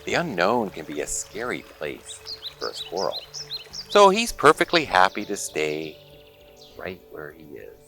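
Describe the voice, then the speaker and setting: A man reading a picture book aloud to children in an expressive, dramatic storytelling manner. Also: sits low, gravelly, faint music behind. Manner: expressive, dramatic pauses and exclamations.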